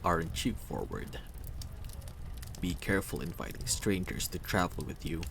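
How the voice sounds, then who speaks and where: A man's voice: low voice, animated, normal speed, gravelly, fairly bright tone, a little hiss or hum, heavily acted. A man voicing a brooding, muscular mercenary in a fantasy game, guarded, terse and dry. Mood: measured, wary.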